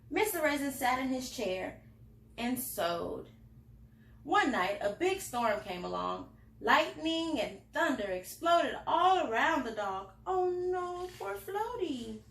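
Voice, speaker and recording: High, animated woman reading a children's storybook. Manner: expressive, with asides to young listeners. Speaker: library storytime reader. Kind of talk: animated picture-book read-aloud for children. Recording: roomy, echoing indoor sound.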